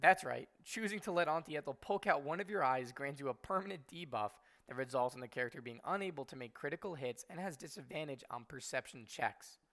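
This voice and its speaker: A man's voice: a little high, lively intonation, brisk, few pauses. A man giving scripted video game walkthrough commentary as host, with wry, sarcastic asides. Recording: loud game music under voice-over.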